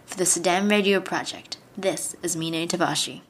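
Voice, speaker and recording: A woman reporter reading a scripted radio news report in a measured, formal way. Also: lowish voice.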